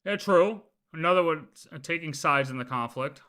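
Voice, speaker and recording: A man's voice: fairly high pitch, moderate pace, bright, forceful tone. A man doing casual live commentary as a streamer, arguing emphatically and repeating his points. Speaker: livestream host reacting to game lore.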